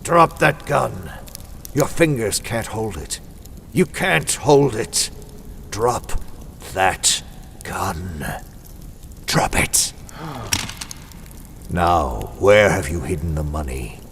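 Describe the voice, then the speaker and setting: A slow, menacing masked avenger. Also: male voice, low-pitched, animated, creaky, fairly bright tone, in a large hall, slight background noise, exaggerated voice. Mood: menacing, commanding. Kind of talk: 1940s radio drama acting.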